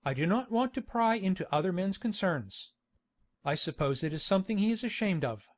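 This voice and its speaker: A hearty country gentleman, as a woman reader puts him on, bluff and insistent. His voice bounces about in a lively way as he coaxes. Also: medium pitch, brisk, close to an everyday voice. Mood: coaxing, bluff.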